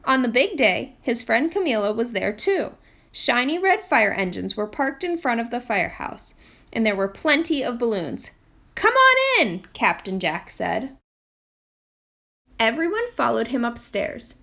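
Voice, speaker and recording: A woman reading a children's picture book aloud in an expressive storytime style. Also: a little high, a little slow, creaky. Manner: expressive read-aloud delivery. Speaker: storytime reader, literacy program manager.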